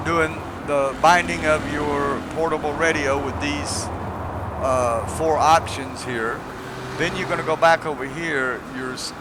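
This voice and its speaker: Rambling man with noisy background. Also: medium pitch. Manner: rambling, step-by-step explaining. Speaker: gamer giving a mod tutorial. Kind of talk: casual unscripted video game tutorial.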